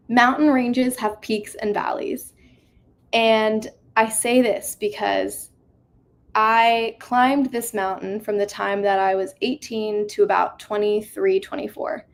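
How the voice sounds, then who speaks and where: Twenties woman speaker, vocal fry, earnest and reflective talk over a video call. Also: medium pitch, steady tone, takes it slowly, pauses often. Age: 20s. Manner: earnest, reflective, many fillers. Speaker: conference speaker. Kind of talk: motivational talk with slides. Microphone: video call mic.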